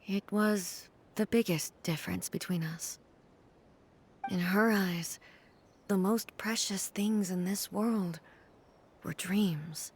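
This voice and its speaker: An actress playing a solemn, gentle female ruler in a video game, speaking in a calm, formal, measured manner. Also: medium pitch, slight background noise. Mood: reflective, solemn.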